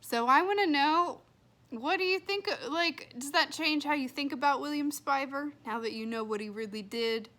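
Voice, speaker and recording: A woman's voice: high voice, normal speed, bright, forceful tone. A woman hosting a children's read-aloud, telling the story expressively.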